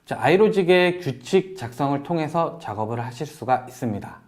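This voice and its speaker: A man presenting a software tutorial in a polite, explanatory way, walking through each step as an instructor. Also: a little high, expressive, a little fast. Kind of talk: software tutorial narration over screen demo.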